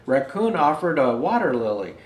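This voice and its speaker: An older man reading a children's picture book aloud as a storyteller, in an unhurried, expressive way. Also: a little high. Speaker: storyteller dressed as Santa Claus. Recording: roomy indoor echo.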